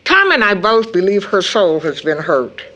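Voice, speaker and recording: A woman playing a worried, caring mother in an audio drama, speaking in a measured, earnest way. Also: medium pitch, big pitch swings, fairly bright tone. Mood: grave, troubled. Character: worried, caring mother recounting her daughter's breakdown.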